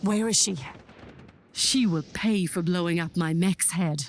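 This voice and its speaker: A woman's voice: on the low side, unhurried. A woman playing a vengeful, domineering female general, the villain of a science fiction audio drama, commanding and menacing. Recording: faint background noise.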